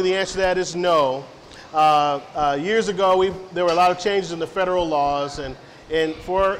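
A middle-aged man answering audience questions in a science center hall, friendly and rambling with many fillers and restarts. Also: fairly high pitch. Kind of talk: informal public Q&A talk. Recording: reverberant hall, faint background noise.

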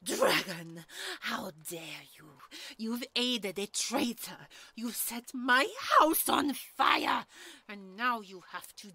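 A woman voicing a vengeful beaked ruler in an audio roleplay, theatrical and taunting, with a creaky voice. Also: a little high, slow pace, hardly any pauses, bright, forceful tone, exaggerated voice. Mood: enraged. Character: vengeful, gloating female beaked palace ruler. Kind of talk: dramatic audio roleplay acting.

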